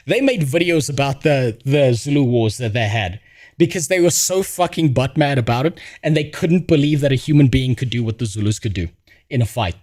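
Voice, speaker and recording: A man hosting a stream, giving a blunt, profane, rambling reaction commentary. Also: on the high side. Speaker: streamer host.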